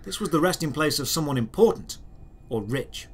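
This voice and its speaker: A man reading dramatic first-person fantasy narration as a storyteller, measured, with deliberate pauses. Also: a little high, animated, slightly rough. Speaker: writer and narrator.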